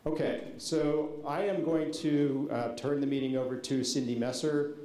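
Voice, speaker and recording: A man's voice: fairly high pitch, fairly even intonation, moderate pace, mellow. A man facilitating a public meeting, laying out the ground rules informally and politely, with lots of ums. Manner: informal, many fillers, polite requests. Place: large public meeting hall. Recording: echoey meeting hall, faint noise.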